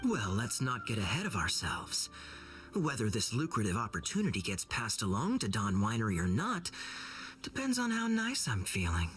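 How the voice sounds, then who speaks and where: An actor playing a suave, sly young knight captain in a video game, smooth and teasing. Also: male voice, on the low side, wildly swooping pitch, moderate pace, fairly bright tone. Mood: smug. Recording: music behind the voice.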